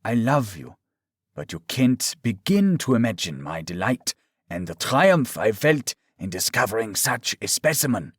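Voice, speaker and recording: Audiobook villain: menacing doctor, played by a male narrator, smug, coaxing, falsely tender, swooping pitch, dry close sound. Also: very animated, unhurried, slightly rough, dry recording, exaggerated voice. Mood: mock tender. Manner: coaxing, mock-tender, threatening.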